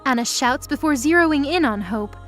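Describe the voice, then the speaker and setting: A woman's voice: high voice, expressive, normal speed, fairly bright tone, faint music behind. A woman narrating a scripted present-tense mythology drama.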